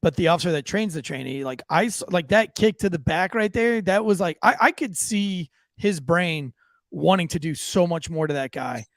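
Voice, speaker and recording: A man's voice: high-pitched, expressive, moderate pace, no room sound, studio quality. A man co-hosting a podcast, chatting loosely with jokes and swearing, and reading a sponsor ad with many restarts. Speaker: podcast co-host. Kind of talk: casual podcast banter and ad read.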